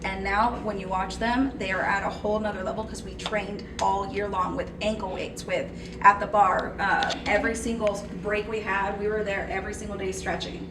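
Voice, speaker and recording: A woman's voice: medium pitch, little pitch movement, moderate pace. A woman who coaches and choreographs a dance team, speaking earnestly in a casual interview, with a South Texas accent. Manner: eager, explanatory, earnest. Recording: echoey, distant, noisy room.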